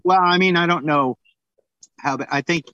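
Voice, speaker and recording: A man's voice: a little high, moderate pace, sharp tone, dry recording, dull audio. A man as a podcast guest, chatting casually with dry jokes and hesitant fillers. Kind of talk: casual chat.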